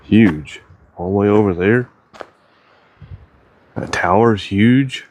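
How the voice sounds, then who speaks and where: A man's voice: fairly low pitch, slow pace, a little mellow, slight background noise. A Midwestern American man with a stuffy head cold, narrating a casual outdoor travel vlog in an easygoing, upbeat way. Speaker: vlogger. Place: outdoors at a campsite and old mine site.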